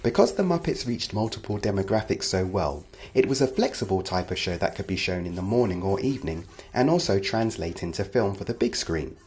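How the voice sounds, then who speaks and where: A man's voice: low-pitched, animated, fairly quick, hardly any pauses, darkish tone. A man narrating a scripted list video as a presenter.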